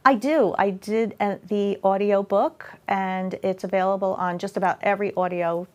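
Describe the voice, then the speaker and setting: A woman's voice: mid-range voice, fairly even intonation, moderate pace, a little mellow. A middle-aged woman talking casually as an interview guest, chatty, with many fillers and restarts. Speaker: author and guest. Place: local cable studio.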